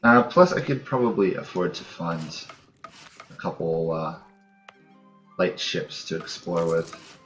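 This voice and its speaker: A man's voice: low-pitched, expressive, takes it slowly, long pauses. A man giving casual let's-play commentary on a strategy game, thinking aloud as he plays. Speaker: host of a gaming channel playing a strategy game. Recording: dull, roomy home recording. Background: game music.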